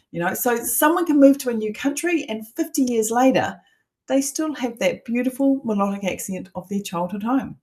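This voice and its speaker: A woman's voice: medium pitch, a little mellow. A middle-aged woman with a New Zealand accent, a coach teaching an online masterclass in an emphatic, persuasive way with rhetorical questions.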